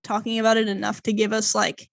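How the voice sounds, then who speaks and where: A woman's voice: mid-range voice, completely flat delivery, fast, creaky. A woman co-hosting a casual podcast, stumbling over odd names as she reads a long plot summary, with lots of fillers. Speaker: podcast co-host. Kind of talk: casual chat, reading a plot summary aloud.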